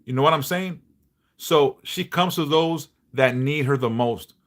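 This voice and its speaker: A middle-aged man a big bass voice, chatting bluntly and passionately on a livestream, swearing freely. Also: measured pace. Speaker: livestream host, devotional teacher. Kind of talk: casual livestream chat.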